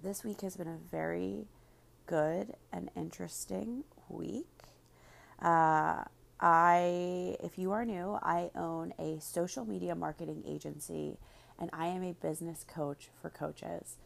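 A woman hosting a podcast, speaking directly to her listeners in a slightly raspy voice. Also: on the low side, steady tone, takes it slowly. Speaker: podcast host and business coach. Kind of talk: podcast monologue, promotional then casual chat.

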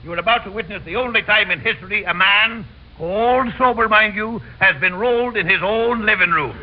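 Folksy deliveryman, highish voice. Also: fairly quick. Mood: showy. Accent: American. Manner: chummy, folksy, blustering. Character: jovial, folksy rug deliveryman and amateur showman. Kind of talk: old-time radio comedy acting. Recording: muffled, noisy old radio recording.

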